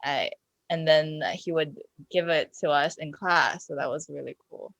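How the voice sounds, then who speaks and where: A woman's voice: low-pitched, fairly even intonation, a little slow, creaky, fairly bright tone, no echo. A woman chatting casually as a podcast panelist, with hesitant, loose phrasing. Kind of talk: casual chat.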